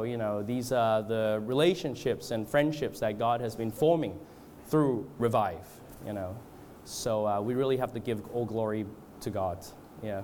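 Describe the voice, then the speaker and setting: A man from Australia and Canada with a cold, speaking as a preacher in an informal, halting, hesitant way. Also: mid-range voice, a little mellow, a little hiss or hum. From born in Australia, moved to Canada. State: has a cold. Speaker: guest preacher at a church revival gathering. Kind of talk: informal sermon with congregational prayer.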